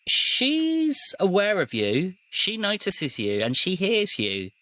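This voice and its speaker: A man acting as game master, narrating a tabletop roleplaying story in a measured, descriptive way. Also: sits high, huge pitch swings, sharp tone, no echo.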